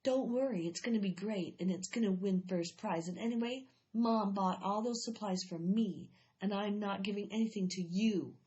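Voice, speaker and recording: A stubborn, competitive little schoolgirl, read by a woman, sounding insistent and a bit indignant. Her pitch sits in the middle of the range. The recording is slightly dull and lo-fi. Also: moderate pace, hardly any pauses, mellow, lightly acted.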